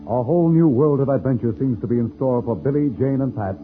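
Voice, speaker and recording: Vintage male radio announcer over loud music. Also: mid-range voice, fast, phone-quality sound. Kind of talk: 1950s radio scripted dramatic narration.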